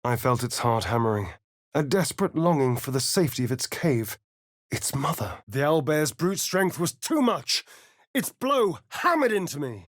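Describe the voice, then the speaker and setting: An actor playing a suave, sardonic, preening vampire rogue in a video game, with an arch, theatrical drawl and dramatic pauses. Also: male voice, fairly high pitch, very animated, dry recording, clean studio sound. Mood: dramatic, haunted. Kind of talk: video game character voice acting.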